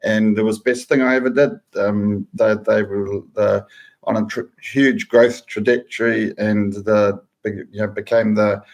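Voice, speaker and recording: A middle-aged man with a New Zealand accent speaks as an interview guest. His voice is low and quite flat. He lists things in a steady, matter-of-fact way. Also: measured pace. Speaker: guest, food safety professional. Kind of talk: casual interview.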